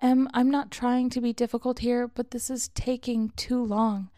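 A woman's voice: on the high side, flat delivery, moderate pace, mellow, dry recording, clean studio sound, pitched above the performer's own voice, natural voice. A woman playing an anxious, exasperated woman lost in a maze, speaking in a hesitant, pleading and urgent way in an audio story.

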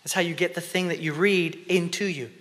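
A man in his thirties preaching on a church stage, swinging between loud emphasis and hushed, whispered prayer. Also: high-pitched, steady tone, fairly quick. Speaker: conference speaker. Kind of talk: sermon. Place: church stage before an audience. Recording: long reverb of a church hall.

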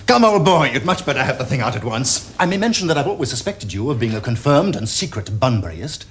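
An actor playing a witty, teasing young gentleman with an upper-class English accent, in a theatrical period comedy. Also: medium pitch, sing-song, quick pace, a little hiss or hum, close to an everyday voice. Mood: amused, probing. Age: young adult. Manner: theatrical, epigrammatic, crisp upper-class phrasing. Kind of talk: period stage comedy acting. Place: furnished studio drawing-room set.

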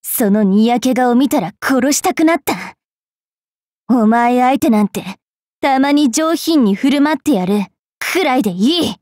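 High-voiced haughty young fairy witch. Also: lively intonation, unhurried, a little mellow, dry recording, studio quality. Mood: irritated. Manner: switches between prim politeness and rough, taunting speech. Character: young female fairy witch knight, bookish, sharp-tongued, haughty. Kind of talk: anime mobile game character voice lines.

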